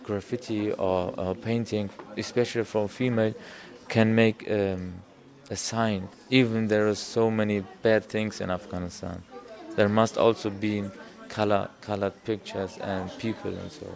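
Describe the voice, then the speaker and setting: Low-voiced man, earnest non-native English. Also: flat delivery, a little slow, creaky, darkish tone. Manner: earnest, with fillers like "you can say". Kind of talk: interview.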